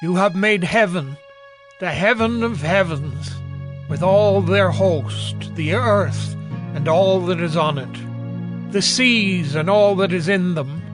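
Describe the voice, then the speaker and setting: An actor playing a reverent Levite praising God in a scripture drama, exalting and deliberate. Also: male voice, high voice, over music. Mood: reverent praise.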